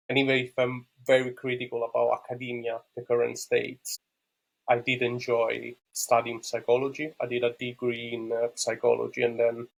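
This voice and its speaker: Italian man with a gravelly, even voice. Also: medium pitch, poor audio. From Rome, Italy. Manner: halting, thoughtful non-native phrasing. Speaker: podcast guest. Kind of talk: conversational interview answers about own life.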